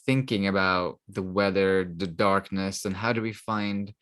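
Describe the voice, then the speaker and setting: A man's voice: low voice, fairly even intonation, unhurried, fairly bright tone. A man from Norway co-hosting a podcast, chatting casually in an agreeable, informal way. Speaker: podcast co-host. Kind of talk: casual chat.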